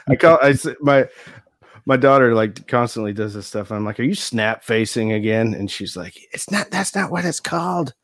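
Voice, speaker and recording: A man's voice: mid-range voice, expressive, moderate pace, long pauses, fairly bright tone, dry recording. A man in his forties talks in a loose, joking way, poking fun at himself as he spins stories. The recording is close and dry, with no room sound. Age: 40s. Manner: jokey, self-deprecating storyteller. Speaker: youtube channel host, guest. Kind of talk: casual chat.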